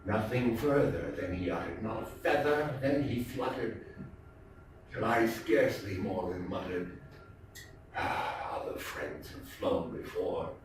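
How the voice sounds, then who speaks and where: A man performs gothic poetry as a live theatrical reading. His delivery is slow and deliberate, moving between hushed phrases and louder swells with heavy pauses. The sound is very reverberant and distant from the mic, with faint noise. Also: on the low side, lively intonation. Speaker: stage actor performing a dramatic reading.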